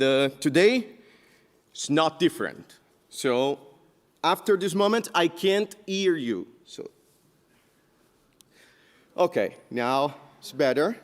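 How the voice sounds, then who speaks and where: Brazilian man talking in an echoey hall. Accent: Brazilian Portuguese. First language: Portuguese. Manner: halting, many filler sounds, lively pitch jumps. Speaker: conference speaker. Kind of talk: technical conference talk. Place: large conference hall stage.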